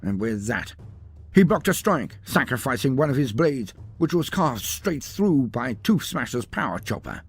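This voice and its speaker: A man delivering dramatic scripted narration of a fantasy battle story, theatrical, with deliberate pauses and emphasis. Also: medium pitch, very animated, breathy edge.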